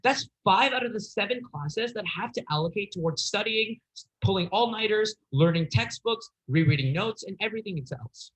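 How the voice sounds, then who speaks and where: A man's voice: high-pitched, lively intonation, normal speed, fairly bright tone, low-quality recording. A teenage boy delivering an earnest, slightly hesitant persuasive speech in a contest. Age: teens. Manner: earnest, a little hesitant, occasional fillers and restarts.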